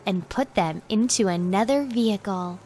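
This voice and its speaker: A woman's voice: mid-range voice, normal speed, darkish tone, a little hiss or hum. A woman reading scripted educational narration for young children in short, simple, carefully separated teaching phrases.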